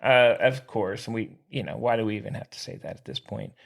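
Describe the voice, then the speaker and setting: A man co-hosting a sports podcast, chatting casually in an animated, slangy fan's way. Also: lowish voice, brisk, vocal fry. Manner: animated, slangy fan talk.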